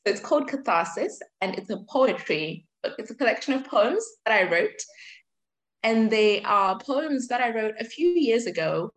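A woman in her twenties from Zimbabwe, a guest on a podcast, answering thoughtfully with reflective pauses. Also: fairly high pitch, big pitch swings, poor audio. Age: 20s. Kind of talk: conversational interview answers.

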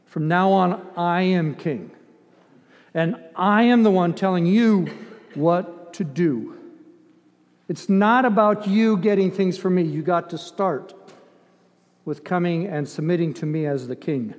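High-voiced older man preaching in echoing hall. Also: unhurried, a little mellow. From grew up in Ethiopia. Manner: emphatic, conversational, with dramatized asides and rhetorical questions. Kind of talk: sermon. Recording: long hall reverb.

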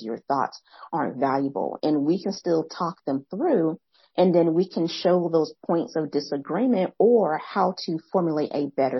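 Young woman podcast host, soothing husky voice, fast and eager, rephrasing often. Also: dry recording, highs cut off. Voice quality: soft, soothing low voice. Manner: fast, excited talker who pauses to rephrase. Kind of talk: reflective interview commentary.

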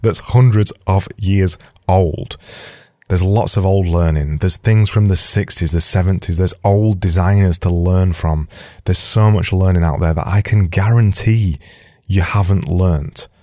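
Deep-voiced Yorkshire man, dry close sound. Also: normal speed, warm tone, no room sound. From Yorkshire, England. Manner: emphatic, repeats key points for stress. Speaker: podcast host, designer giving career advice. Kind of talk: informal solo advice talk.

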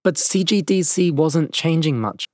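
A man's voice: a little high, expressive, measured pace, a little mellow, dry recording. A man reading scripted documentary narration in the role of narrator.

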